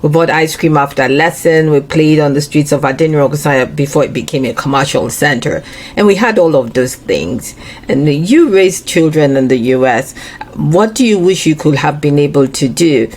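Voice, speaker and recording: Woman, interview host, very low voice, encouraging, frequent short affirmations. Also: animated, normal speed, darkish tone, a little hiss or hum. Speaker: podcast host. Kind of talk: interview questions.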